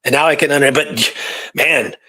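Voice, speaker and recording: A man's voice: medium pitch, moderate pace, bright, forceful tone, rough recording. A man talking casually as a history researcher, sharing findings in an informal storytelling manner. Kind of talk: casual conversational history discussion.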